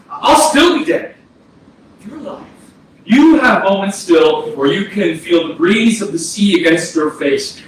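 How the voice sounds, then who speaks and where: A man's voice: high-pitched, a bit bright. A man playing a bitter, accusing dead brother who swears and confronts, in a staged play reading. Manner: profane, accusing, dramatic. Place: arts centre theatre. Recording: echoey stage, distant mic, faint noise.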